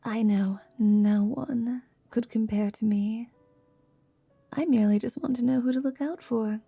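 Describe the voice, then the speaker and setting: A woman playing a confident, teasing half-vampire wizard in an intimate ASMR roleplay, speaking in a soft, languid whisper. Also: fairly high pitch, steady tone, unhurried, vocal fry, husky, no echo, soft background music, heavily acted. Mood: teasing, curious.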